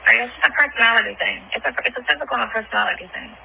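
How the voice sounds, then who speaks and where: A woman's voice: on the high side, racing, vocal fry, slight background noise. A woman chatting casually and bluntly in slangy, candid talk on a recorded phone call. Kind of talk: casual intimate phone chat.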